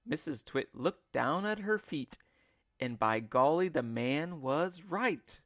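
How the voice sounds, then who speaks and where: A man reading a storybook aloud like a teacher, with expressive, deliberate and emphatic phrasing. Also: on the high side, dry recording, clean studio sound. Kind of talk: expressive read-along storybook narration.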